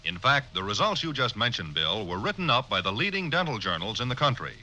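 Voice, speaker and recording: A man reading a 1940s radio commercial in a crisp, emphatic way. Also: mid-range voice, very animated, brisk, bright, forceful tone. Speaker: announcer.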